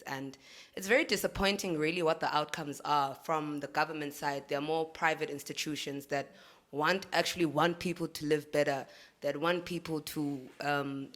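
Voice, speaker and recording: A woman on a discussion panel who works as an activist, speaking frankly and confidently with joking asides. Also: sits low. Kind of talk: casual panel talk.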